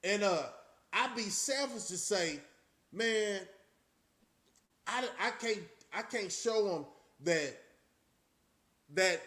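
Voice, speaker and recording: A man's voice: sits high, animated, takes it slowly, long pauses, sharp tone. A man hosting a casual live-stream chat, talking loosely and rambling with frequent restarts. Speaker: channel host.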